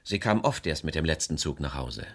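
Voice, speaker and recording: An actor playing a worried middle-aged estate agent and estranged husband in a radio crime play, talking in a confiding, hesitant way. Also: male voice, rumbling low, animated, a little fast, a bit bright, heavily acted. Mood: sober, matter-of-fact.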